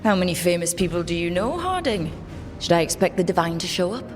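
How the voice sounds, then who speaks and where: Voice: mid-range voice, sing-song, brisk, faint music behind, natural voice. An actor playing a frank, burdened team leader in a video game, speaking plainly and informally. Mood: wry. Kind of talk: video game cutscene acting.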